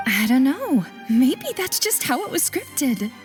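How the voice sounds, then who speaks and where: A woman's voice: high voice, big pitch swings, unhurried, soft background music. A woman playing a chatty theatergoing townsperson in a video game. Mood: impressed.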